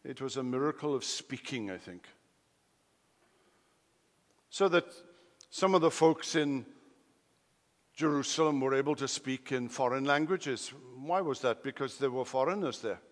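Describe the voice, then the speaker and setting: A man with a Welsh accent, a native Welsh speaker, preaching an expository sermon, emphatic, swinging between loud declarations and quiet asides. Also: medium pitch, measured pace, pauses often. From Wales. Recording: long hall reverb.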